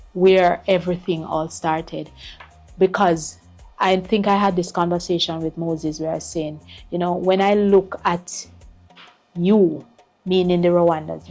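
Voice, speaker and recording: A woman's voice: on the low side, a little mellow. A middle-aged woman, a school principal giving earnest interview answers and repeating words as she finds them. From Jamaica.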